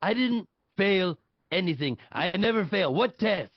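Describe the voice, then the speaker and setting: An older politician acted by a man, petulant and sure of himself, speaks with a creaky, gravelly voice. He is blunt and dismissive, as in a comic table read. The sound is dry and slightly dull. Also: high voice, lively intonation, unhurried, dry recording, heavily acted. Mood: indignant. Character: arrogant, petulant older male politician in afterlife waiting room. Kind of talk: live table read acting.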